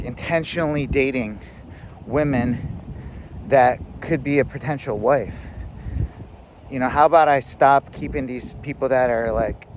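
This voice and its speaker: A man's voice: medium pitch, a little hiss or hum, poor audio. A man in his thirties talking casually to the camera about growing up, rambling with lots of fillers. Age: 30s. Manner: rambling, filler-heavy, reflective. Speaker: vlogger. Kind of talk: casual self-help monologue.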